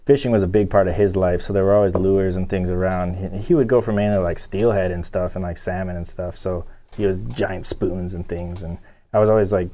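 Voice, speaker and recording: Lower-voiced man telling a rambling story. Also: steady tone, brisk, dark tone, slight background noise. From born in Chile, raised in Michigan, US. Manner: rambling storyteller, heavy "like" fillers. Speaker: guest angler. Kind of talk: casual chat.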